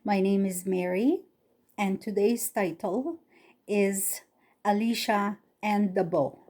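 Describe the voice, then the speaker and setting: A woman for whom English is a second language, reading a Bible story aloud with careful, halting phrasing and pauses between phrases. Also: mid-range voice, fairly even intonation, darkish tone. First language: not English.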